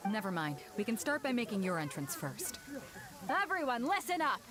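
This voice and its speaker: A bold female tournament announcer in a video game, calling the final match to the crowd. Her voice sits high and swoops up and down with every line. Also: very animated, normal speed, over music. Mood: announcing. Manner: grand, showy announcing to a crowd.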